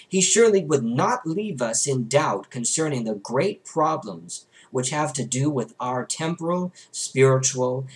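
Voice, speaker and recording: A young man reads a religious book aloud in a measured, formal, rhetorical way, at a desk in a small bedroom. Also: medium pitch. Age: young adult. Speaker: amateur audiobook reader.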